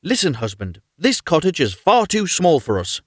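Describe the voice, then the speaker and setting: A man's voice: fairly high pitch, huge pitch swings, moderate pace, a bit bright, slightly rough, no echo, studio quality, exaggerated voice. A man voicing a bossy, greedy wife in a storybook tale, demanding and commanding.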